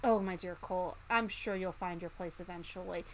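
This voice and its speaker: A woman voicing a charming, courtly, self-promoting gentleman chicken tycoon from a visual novel. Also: lowish voice, fairly even intonation, brisk, a little hiss or hum, voice pushed down, lightly acted, low-quality recording. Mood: gently reassuring.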